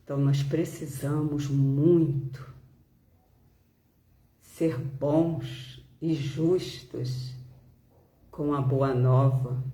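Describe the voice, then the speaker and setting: Brazilian female devotional speaker, mellow, extremely unhurried delivery with pauses, reading and reflecting. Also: sits low, echoey room. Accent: Brazilian Portuguese. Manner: deliberate, halting phrases with pauses. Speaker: religious speaker leading a spiritist devotional talk. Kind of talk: devotional talk with reading aloud.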